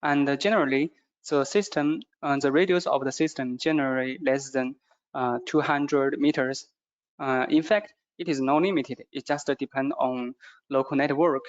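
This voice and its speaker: A man with a non-native English accent gives a product training presentation, speaking haltingly and repeating phrases as he goes. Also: mid-range voice, steady tone. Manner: halting, repetitive phrasing with stumbles. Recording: dull, muffled lo-fi audio.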